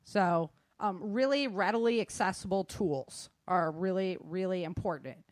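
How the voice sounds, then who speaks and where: A woman's voice: lowish voice, moderate pace, no room sound. A woman giving casual, conversational advice in an emphatic, plain-spoken manner. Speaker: guest sharing self-defense advice.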